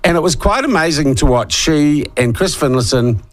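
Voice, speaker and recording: A middle-aged man with a New Zealand accent, husky from a head cold, hosting a talk radio show in a sarcastic, opinionated monologue. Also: a little high, lively intonation, normal speed. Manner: sarcastic, scornful asides.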